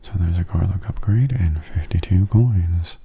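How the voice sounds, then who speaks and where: Hushed, very low breathy man. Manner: calm, unhurried, musing aloud. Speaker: gaming ASMR host. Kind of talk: soft-spoken ASMR game commentary. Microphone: close microphone.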